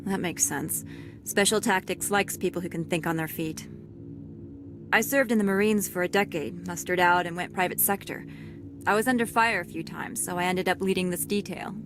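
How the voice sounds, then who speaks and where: A woman's voice: on the low side, natural voice. Stern female security captain over music, an actress. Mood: dry, matter-of-fact. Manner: formal, measured, dry. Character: stern, fair-minded female security captain, weary ex-marine. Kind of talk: scripted video game acting.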